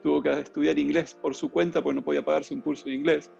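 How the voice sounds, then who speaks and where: A man's voice: a little high, flat delivery, fast, creaky, darkish tone, dull audio, soft background music. A middle-aged man with a Buenos Aires accent, telling his life story as an interview guest in a rambling way, full of fillers. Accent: Buenos Aires Argentine Spanish. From Argentina. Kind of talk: casual interview.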